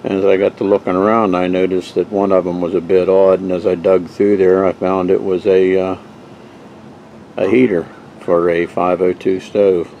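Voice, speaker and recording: A man casually telling the story of the old camping gear he found, rambling with frequent pauses and restarts. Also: low voice, level tone, highs cut off, slight background noise. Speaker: vintage camping gear collector showing finds. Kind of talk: casual show-and-tell narration.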